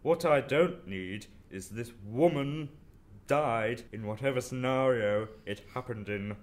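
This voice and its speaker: A man's voice: mid-range voice, a little slow, lightly acted. A man playing an arrogant, brilliant, easily bored detective in an audio drama, with a dismissive, clipped and condescending manner.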